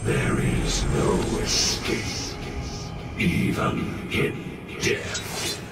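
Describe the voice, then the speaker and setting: An actor playing a menacing undead wraith lord in a fantasy game, imperious and rasping, with an otherworldly effect on his voice. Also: a bass voice, big pitch swings, heavily acted. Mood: cold command. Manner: slow, imperious, threatening. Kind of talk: dramatic fantasy game acting. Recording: distant, cavernous echo under loud music.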